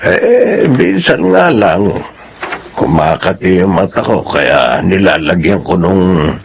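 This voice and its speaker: An ailing elderly father in a Filipino radio drama. He speaks very slowly in a low, creaky voice. His delivery is halting and tender, with faint noise behind it. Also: big pitch swings, exaggerated voice. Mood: tender, weary. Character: ailing, gentle elderly father with failing eyesight.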